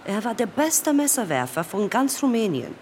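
Bossy menacing circus knife thrower, lively pitch, bit of background noise. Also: female voice, normal speed. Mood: proud, taunting. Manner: commanding, taunting. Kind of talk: radio drama acting.

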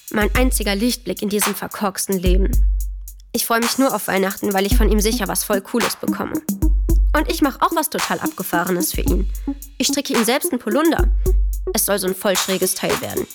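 A woman playing a sulky, slangy teenage girl who narrates her family life in a children's radio play. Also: medium pitch. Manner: casual, grumbling youth slang. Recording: music playing behind the voice. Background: loud music.

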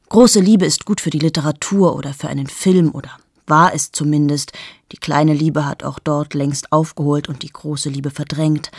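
A woman's voice: a little mellow, dry recording, studio quality, heavily acted. An actress in her thirties playing a cold, cynical journalist in a radio play monologue, confiding and often dropping to a bitter whisper. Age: 30s. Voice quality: husky, gravelly low voice.

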